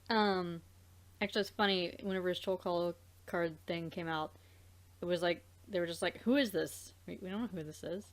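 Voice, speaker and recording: A woman hosting a let's play, chatting casually in a rambling, halting way and circling back mid-thought. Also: on the low side. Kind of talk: casual gaming chat.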